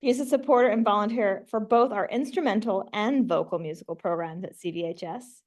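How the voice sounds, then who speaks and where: A woman's voice: mid-range voice, fairly quick, darkish tone. A woman giving a formal, prepared tribute as an award presenter at a meeting. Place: meeting room, speaking at a microphone.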